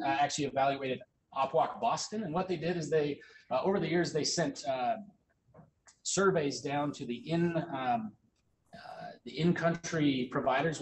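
A man in his thirties from the United States, an orthopedic surgery resident giving a grand rounds talk, casual and quick with many ums and uhs. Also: mid-range voice, a little hiss or hum, poor audio. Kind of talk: academic slide presentation.

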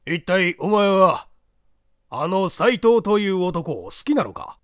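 A man as a stern, high-pitched examining judge. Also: big pitch swings, slow, fairly bright tone, no echo, clean studio sound, exaggerated voice. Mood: stern, probing. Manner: blunt, authoritative interrogation. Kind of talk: dramatised mystery story reading.

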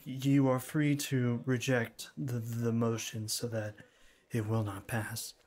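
A man playing a shy young classmate in an ASMR roleplay, speaking formally and stiffly with hesitant stammers and a cool, deadpan manner. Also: mid-range voice, slow pace, natural voice. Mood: awkward, nervous. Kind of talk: ASMR roleplay, scripted one-sided dialogue.

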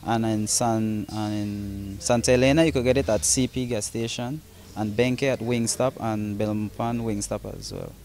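A man's voice: lowish voice, fairly even intonation, normal speed, a little mellow, soft background music. A young man, a concert promoter, talking casually in an interview, with many fillers and restarts, recorded on a handheld mic in a large open hall. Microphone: handheld reporter mic.

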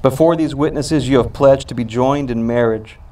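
A man's voice: medium pitch, moderate pace, mellow, distant mic. A middle-aged man officiating an outdoor wedding in a ceremonial, prompting style on a handheld microphone. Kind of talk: ceremonial address, prompting vows line by line.

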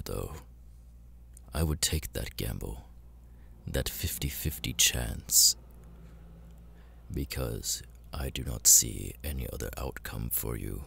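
A man playing a cold, menacing, seductive demon lord in an ASMR audio roleplay, breathy and gravelly, often near a whisper, with slow deliberate pauses. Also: a bass voice, a bit bright, slight background noise, full character voice. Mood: menacing, coaxing.

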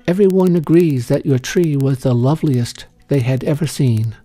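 A man voicing a grateful schoolchild's letter in a story reading, earnest and measured. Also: mid-range voice, mellow, no echo, close to an everyday voice. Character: grateful young schoolchild writing a sincere letter.

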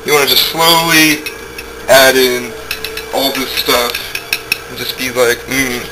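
A man's voice: mid-range voice, steady tone, slow. A young man hosting a casual home cooking demonstration in his kitchen, joking offhandedly as he works, recorded on a camera's own mic. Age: young adult. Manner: jokey, offhand, talks while working. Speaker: home cooking show host. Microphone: camera mic, a few feet away. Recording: noisy home-video camera audio.

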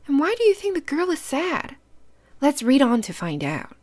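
Animated woman reading to children, higher pitch. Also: moderate pace. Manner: instructive, simple child-directed phrasing. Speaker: host reading picture books to children. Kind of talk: children's read-aloud with questions to listeners.

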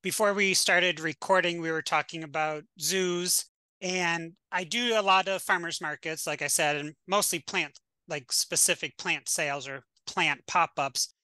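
Chatty bright-voiced woman podcast host. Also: lowish voice, fairly even intonation, unhurried, clean tone, no room sound. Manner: chatty, lots of "like", playful. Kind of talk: casual conversational interview.

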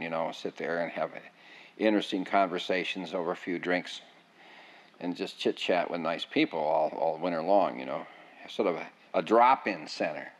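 An older man reminiscing in an oral history interview, rambling, with frequent restarts. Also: sits low. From United States. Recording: dull lo-fi sound.

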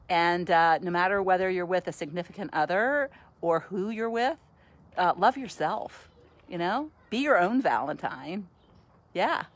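A woman in her sixties, a podcast host, chatting casually and cheerfully into a phone at arm's length on a sunny outdoor patio, laughing often. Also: on the low side. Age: 60s. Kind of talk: casual selfie video chat.

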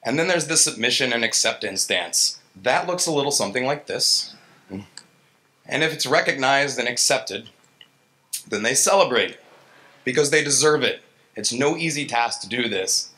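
Bright-voiced man giving an informal tech talk. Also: medium pitch, expressive, moderate pace, poor audio. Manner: colloquial, self-deprecating, acting out his reactions. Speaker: software engineer giving a lightning talk. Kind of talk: informal conference talk with slides. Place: stage in an indoor gallery event space. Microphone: handheld-style vocal mic on a stand.

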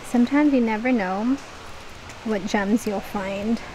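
Slow-talking, higher-voiced woman vlogging while shopping. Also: muffled recording. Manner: chatty, thinking aloud as she browses. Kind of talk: casual shop-with-me vlog chat. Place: inside busy stores and a mall. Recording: noisy public stores and mall ambience. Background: shop and mall background noise.

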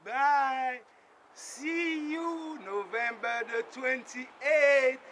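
A man's voice: extremely high pitch, extremely slow. A middle-aged Haitian man with a Haitian accent, delivering an impassioned, repetitive political rant straight to a close camera in a small tarp-walled space. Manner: emphatic and repetitive, swings between shouting and muttering. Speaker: political vlogger. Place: small indoor space with blue tarp walls. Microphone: camera close up in front of him.

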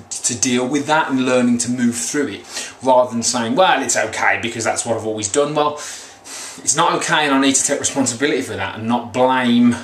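A man in his thirties with a slightly croaky voice, giving a rambling, earnest motivational vlog talk as a coach. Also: mid-range voice, fairly bright tone. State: slightly croaky after a run. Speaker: weight loss coach. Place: small room at home, indoors. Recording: echoey small room, faint background noise.

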